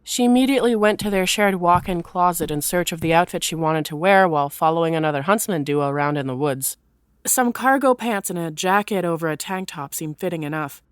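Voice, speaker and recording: A woman reading scripted third-person story narration in an expressive storytelling manner. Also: on the low side, fairly quick, clean tone, dry recording.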